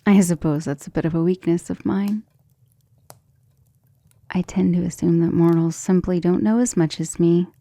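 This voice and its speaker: A woman voicing a centuries-old vampire lover in an ASMR romantic roleplay, soft, breathy and often whispering, intimate and gentle, with formal wording. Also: fairly low pitch, steady tone, normal speed, pauses often, no echo, close to an everyday voice. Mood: admiring, affectionate. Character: centuries-old female vampire lover, tender, protective, self-assured.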